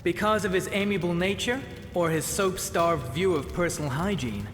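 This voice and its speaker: High-voiced bold young hero. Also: male voice, moderate pace, fairly bright tone, faint music behind. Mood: dry, resolute. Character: young male hero swordsman, bold and sardonic. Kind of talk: video game character acting. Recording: echoey reverberant sound, ambient noise.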